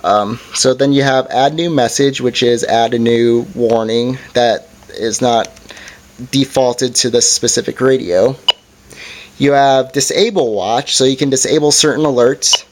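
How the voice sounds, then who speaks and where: A man's voice: medium pitch, steady tone, unhurried, low-quality recording. A man giving a casual hands-on product review as its presenter, in an informal, hesitant and somewhat apologetic way.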